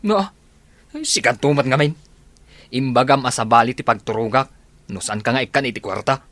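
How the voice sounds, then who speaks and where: A man's voice: medium pitch, moderate pace, fairly bright tone, no room sound, natural voice. A man with an Ilocano Filipino accent playing a sheepish, pleading jobless petty thief in a radio comedy drama. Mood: defensive.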